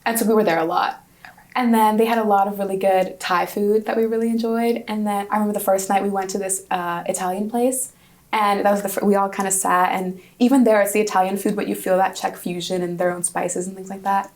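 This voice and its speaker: A woman's voice: mid-range voice, steady tone, fairly quick, darkish tone. Chatty young woman student guest. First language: Hungarian. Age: 20s. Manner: chatty, upbeat, with frequent restarts. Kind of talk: casual interview answers.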